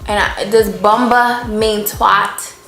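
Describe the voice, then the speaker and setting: A woman's voice: mid-range voice, steady tone, takes it slowly, a bit bright, echoey room, soft background music. A woman co-hosting a casual couple vlog who asks a lot of curious, playful questions. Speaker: co-host asking about Jamaican phrases.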